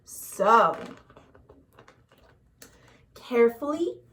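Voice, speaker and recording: High, very animated storytime woman. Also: far from the mic. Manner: dramatic, deliberate, addresses young listeners. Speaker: children's librarian, storytime host. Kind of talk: expressive picture-book read-aloud for children. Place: at home.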